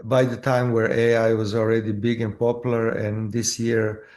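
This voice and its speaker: A man with a Croatian accent, an actor telling his story in a casual interview, halting with many fillers and restarts. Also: on the low side, level tone, darkish tone. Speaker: actor guest.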